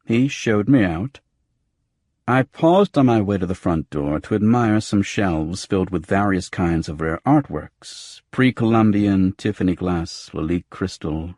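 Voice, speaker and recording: A man's voice: sits low, dry recording. A man reading scripted first-person detective fiction as an audiobook narrator, close to the microphone.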